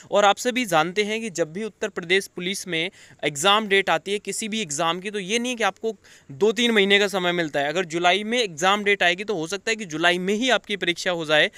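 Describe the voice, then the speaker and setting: A man's voice: sits high, sharp tone, clean tone, no room sound. A man giving an informal news update to students as a coaching teacher, explaining things with frequent check-in tags. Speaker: exam coaching teacher and channel host.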